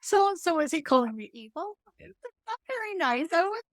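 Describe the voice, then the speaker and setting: A woman with a slightly squeaky voice, chatting on a faith podcast in a wry, self-deprecating way. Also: very animated, moderate pace, no echo, clean studio sound. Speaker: podcast co-host. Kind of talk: casual faith discussion.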